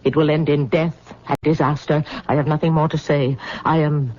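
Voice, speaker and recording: A woman's voice: deep voice, fairly even intonation, normal speed, a little mellow. An actress playing a stern, superstitious queen mother in an old radio drama, speaking with formal solemnity on a dull, lo-fi recording. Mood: ominous, unyielding. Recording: dull lo-fi vintage radio sound.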